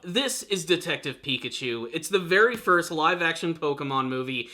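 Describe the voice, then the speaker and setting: A male video reviewer with a fairly high, bright and punchy voice. He talks straight to his viewers with gushing enthusiasm. He leans hard on key words and draws them out for emphasis. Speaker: film review channel host.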